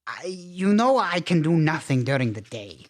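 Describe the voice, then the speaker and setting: A man's voice: on the high side, very animated, moderate pace. A man playing a centuries-old vampire count, a fretful and formal scoundrel, in a campy horror-comedy film. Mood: sheepish.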